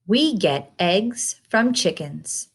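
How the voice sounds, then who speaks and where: A woman reading a children's picture book aloud in a simple, deliberate, instructive way, putting questions to the listener. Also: medium pitch, big pitch swings, a bit bright, husky.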